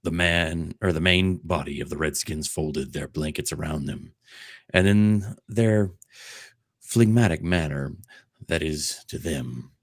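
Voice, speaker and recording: A man with a low, deep voice reads a story aloud at a slow, unhurried pace. He is the host of a comedic dramatic reading and has a jokey manner. The recording is close and dry. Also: dry recording. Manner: jokey, breaking off for ad-libs and laughter. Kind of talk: comedic dramatic book reading with joking asides.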